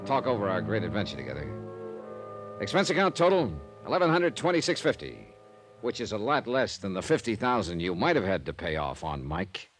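A man's voice: mid-range voice, natural voice. An actor playing a tough, wry male insurance investigator in 1950s radio drama, with a clipped, dry delivery on a vintage recording. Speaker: lead actor of a radio detective series. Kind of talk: 1950s radio drama acting and first-person narration.

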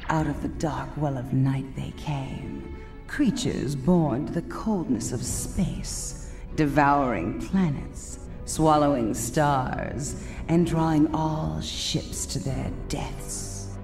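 A woman playing a haughty young villainous sorceress who wields dark magic in a theatrical space opera audio drama, over music. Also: deep voice, slow. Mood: menacing incantation. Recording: loud music behind the voice.

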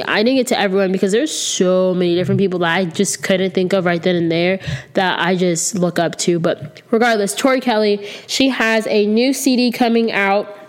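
A woman in her twenties hosting her own podcast alone, slightly sick and a little nasal, rambling and candid with lots of ums, sitting close to the mic. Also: medium pitch. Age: 20s. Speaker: podcast host. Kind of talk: casual solo podcast chat. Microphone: close to the microphone.

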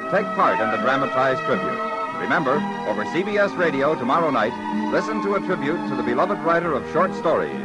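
A man's voice: medium pitch, big pitch swings, fairly quick, rough recording. An American man doing scripted announcing in the style of 1950s network radio. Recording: old radio broadcast with music.

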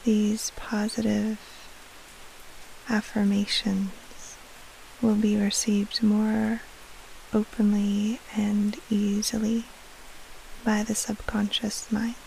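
Hushed, very slow woman guiding sleep meditation. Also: mid-range voice, completely flat delivery, poor audio. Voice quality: soft, breathy, half-whispered. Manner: soothing, hushed, long pauses between short phrases. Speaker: meditation guide, podcast host. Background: soft rain-like hiss.

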